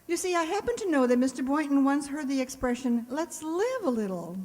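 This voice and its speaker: A woman plays a sardonic lady English teacher tossing off arch quips in a radio comedy. Her voice is high and animated, with a hall-like reverb around it. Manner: dry, arch, quick with quips. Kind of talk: old-time radio comedy acting.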